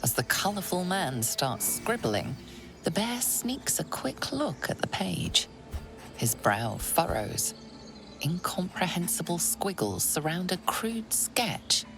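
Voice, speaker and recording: A woman narrating a fantasy video game as a measured, dramatic storyteller. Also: on the low side, very animated, over music, full character voice. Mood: measured, wry. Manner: deliberate, dramatic.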